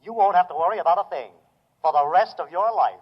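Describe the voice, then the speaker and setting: An earnest, devoted robot servant, in a highish voice with proud, reassuring deference. It rings with long reverb on a muffled old radio drama recording. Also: male voice, fast talker, slightly rough. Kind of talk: 1950s radio drama acting.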